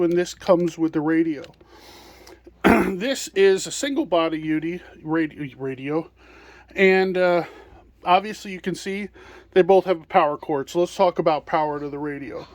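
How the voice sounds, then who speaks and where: A man presenting products in an informal walkthrough, explaining hands-on as he handles items. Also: high voice.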